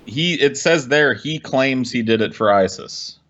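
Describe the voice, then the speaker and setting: A man's voice: medium pitch, lively intonation, a little slow, fairly bright tone, muffled recording. A man with an American accent discussing the news casually as a podcast co-host.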